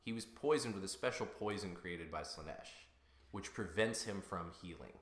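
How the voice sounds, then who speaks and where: A man's voice: low voice, normal speed. A man explaining game lore in a casual, detail-minded podcast style, recorded in a roomy amateur setup.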